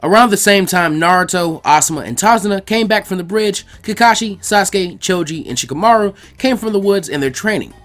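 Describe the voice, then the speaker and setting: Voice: mid-range voice, expressive, fairly quick, few pauses, fairly bright tone. A narrator reading a fan fiction story aloud, acting out the dialogue. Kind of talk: scripted story narration with character voices.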